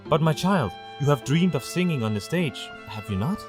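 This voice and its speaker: A man's voice: a little high, expressive, moderate pace, music in the background, close to an everyday voice. A man playing a humble, anxious middle-aged father pony in an audio drama, deferential and tender. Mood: tender, regretful. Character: humble, gentle, anxious father stallion violinist.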